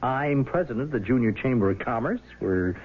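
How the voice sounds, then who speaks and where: An actor playing a scheming, bitter husband who has turned blackmailer, sardonic and falsely easygoing, in 1970s radio drama style. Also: male voice, medium pitch, expressive, a little fast, natural voice. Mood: uneasy, casual. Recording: narrowband, noisy old radio broadcast recording.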